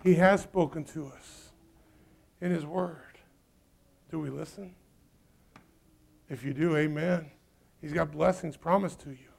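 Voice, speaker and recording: Gravelly high-voiced man preaching a sermon. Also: fairly even intonation, measured pace, long pauses. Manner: swings between loud urgent peaks and low quiet asides. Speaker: pastor.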